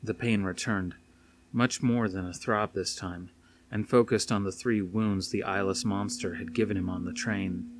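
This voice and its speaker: Low-voiced man reading a dramatic story. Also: steady tone, moderate pace. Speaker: audiobook reader. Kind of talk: dramatic scripted narration. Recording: faint noise behind the reading.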